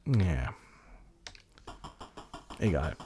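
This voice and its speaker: A man's voice: very deep voice, very animated, very slow, warm tone, breathy edge. A man hosting a game stream, chatting casually and rambling as he thinks aloud while he plays. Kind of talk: casual live gaming commentary with chat.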